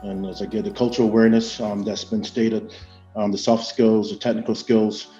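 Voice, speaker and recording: An American man gives a panel answer in a measured, hesitant way, full of filled pauses. His voice is mellow and dark with little melodic movement, and the audio is muffled. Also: lowish voice, flat delivery, soft background music. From United States. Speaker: panelist from industry. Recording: muffled, echoey online audio.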